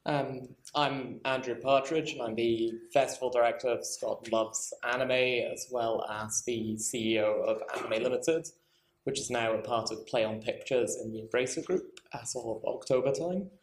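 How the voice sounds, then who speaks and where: A man's voice: mid-range voice, steady tone, moderate pace. Wry man in an echoey room. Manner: wry, self-deprecating. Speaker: film festival director, panellist. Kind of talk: panel discussion, informal spoken account.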